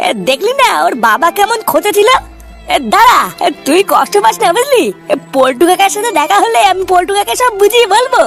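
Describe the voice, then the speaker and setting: Schoolboy pal for cartoon male actor: high pitched-up voice, gentle consoling manner, hesitant, music behind. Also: very animated, fairly quick, vocal fry, exaggerated voice. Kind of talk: Bengali comedy cartoon dub.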